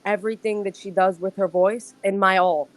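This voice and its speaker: A woman from Brooklyn gushes in a casual fan chat. Her voice sits mid-pitched. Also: steady tone, moderate pace. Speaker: fan on a group call.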